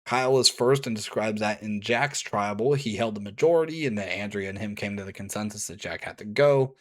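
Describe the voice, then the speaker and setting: A man's voice: lowish voice, brisk, a bit bright. Casual male reviewer with a strained voice. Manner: casual, with offhand asides. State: voice strained and hoarse. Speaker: video reviewer. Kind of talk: scripted review narration.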